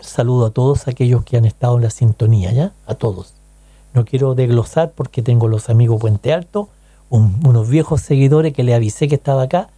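A man's voice: medium pitch, warm tone, dry recording. A man with a Chilean accent hosting a casual radio show, chatting to listeners with rhetorical questions and frequent check-ins. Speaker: radio host.

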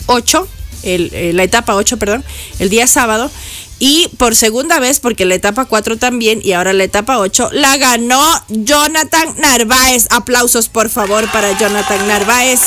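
A woman's voice: on the high side, moderate pace, fairly bright tone, soft background music. Chatty woman radio host. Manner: playful, teasing asides between news items. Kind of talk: chatty news commentary.

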